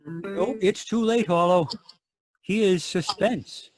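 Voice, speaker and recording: Slow, high, animated radio sidekick played by a man. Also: faint music behind. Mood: coaxing, concerned. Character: earnest male radio ad sidekick, coaxing his partner. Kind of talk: 1940s radio comic commercial acting.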